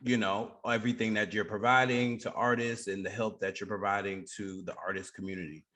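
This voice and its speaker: A man's voice: lowish voice, level tone, fairly quick, bright, forceful tone. A man hosting a creative business radio show, asking questions in a conversational interview style.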